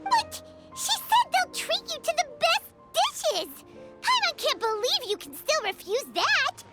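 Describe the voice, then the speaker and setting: A woman's voice: very high voice, big pitch swings, unhurried, over loud music, full character voice. A woman voicing a tiny, chirpy, childlike fairy companion in an anime game, with a blunt, exclamatory manner. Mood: indignant. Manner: blunt, exclamatory, refers to herself by name.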